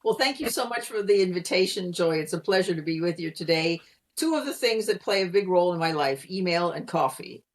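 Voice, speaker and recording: Middle-aged American woman from Pennsylvania, articulate guest, explains clearly, speaks over a video call. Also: lowish voice, expressive, brisk. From Pennsylvania, USA. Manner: articulate, explanatory, light laughs. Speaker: guest, communication consultant. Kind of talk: conversational interview answer.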